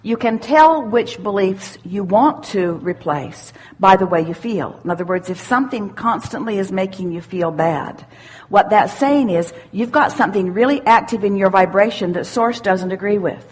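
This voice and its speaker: A woman giving spiritual self-help teaching to a questioner, assured and deliberate, repeating phrases for emphasis. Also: medium pitch, lively intonation, breathy edge. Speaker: speaker leading a question-and-answer workshop.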